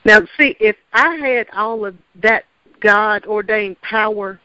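A woman hosting a gospel radio interview, effusive and full of affirmation, answering her guests with quick amens. The recording is dry and a little dull, with no room sound. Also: medium pitch, pushed and bright, clean tone, no room sound. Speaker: host.

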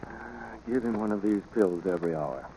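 A composed, serious country doctor, played by a man with a low voice. The acting is in 1940s radio style, with faint noise from an old broadcast. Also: a little fast, lightly acted, rough recording. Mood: grave.

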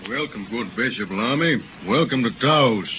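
A man's voice: mid-range voice, animated, normal speed, narrow, tinny audio, close to an everyday voice. An actor playing a determined, righteous, plainspoken frontiersman in a hissy 1930s radio drama. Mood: firm, determined.

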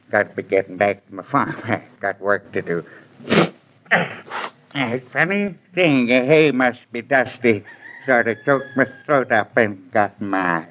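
Sentimental American farmer, unhurried and halting, mid-pitched, vintage 1940s radio drama. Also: male voice, expressive, slight background noise. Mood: choked up. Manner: halting, throat-clearing. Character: sentimental, choked-up farmer reluctantly parting with his cow. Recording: dull 1940s radio transcription.

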